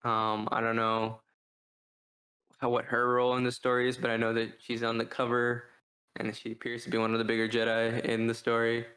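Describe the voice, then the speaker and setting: A man's voice: medium pitch, monotone, a little fast, sharp tone, poor audio. A man co-hosting a podcast, talking casually in a rambling, hesitant way and often circling back on his own phrasing. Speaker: podcast co-host reviewing books. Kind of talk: casual discussion.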